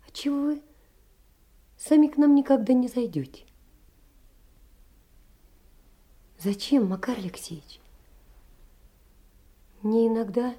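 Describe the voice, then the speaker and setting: An actress playing a gentle, lonely poor young woman, wistful and earnest, in a theatrical literary audio drama. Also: on the high side. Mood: sad, wistful.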